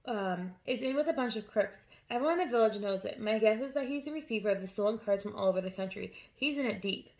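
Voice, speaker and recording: A woman voicing a gentle female schoolteacher who speaks quietly and calmly. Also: medium pitch, quick pace, few pauses, close to an everyday voice. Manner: quiet, soft-spoken.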